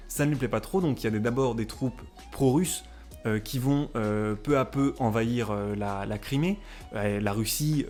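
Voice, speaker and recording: A man's voice: mid-range voice, a little mellow. Hesitant young man explaining history. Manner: hesitant, frequent fillers. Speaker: history video host. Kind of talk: casual explainer.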